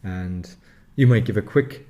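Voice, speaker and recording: A man's voice: deep voice, measured pace, dark tone. A man hosting a podcast, asking casual interview questions in an encouraging, conversational way. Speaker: podcast host.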